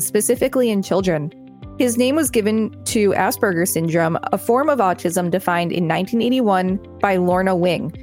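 A woman at a mid-range pitch reads an encyclopedia article aloud, steady and deliberate, then drops into a relaxed conversational tone for quick asides. A faint bed of music sits under her voice. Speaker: podcast co-host reading aloud. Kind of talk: reading an article aloud with casual commentary.

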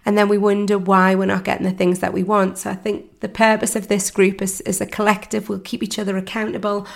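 A woman hosting her own podcast in a casual chat, her voice hoarse and croaky from laryngitis, talking with plenty of ums and restarts. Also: mid-range voice, level tone, brisk. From United Kingdom. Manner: warm chatty, many ums and restarts. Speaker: podcast host and mindset coach.

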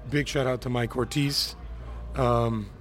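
Podcast host, man, 30s, from Miami, animated ranter, mid pitch. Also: takes it slowly, slight background noise. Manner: animated storyteller, ranting, acts out quotes. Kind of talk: casual podcast chat.

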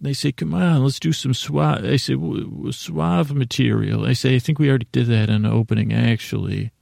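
Gravelly, rambling American man. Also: medium pitch, brisk, a little mellow, dry recording, studio quality. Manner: meandering, stammering, self-deprecating, keeps interrupting himself. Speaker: podcast host. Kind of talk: rambling bedtime sleep podcast monologue.

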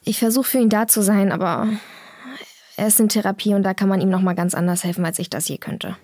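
A woman's voice: mid-range voice, fairly even intonation, fast, mellow, dry recording, studio quality, lightly acted. A woman playing an earnest, defiant young climate activist answering questions in an audio drama interview. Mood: subdued, concerned.

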